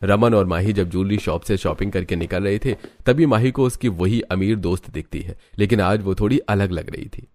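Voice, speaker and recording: A man's voice: low-pitched, normal speed, hardly any pauses, darkish tone. A man narrating a scripted cartoon story.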